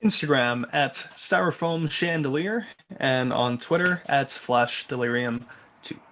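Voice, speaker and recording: A man's voice: mid-range voice, measured pace, a bit bright, slight background noise. A man chatting casually as a panelist on an online group call. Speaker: discussion panelist. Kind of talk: casual chat.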